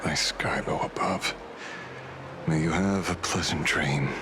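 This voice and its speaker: An actor voicing a weary, wry older man bent on justice in a game dub, speaking in a measured, sardonic way. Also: a bass voice, background noise. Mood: somber. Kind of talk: dramatic game dubbing. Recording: music behind the voice.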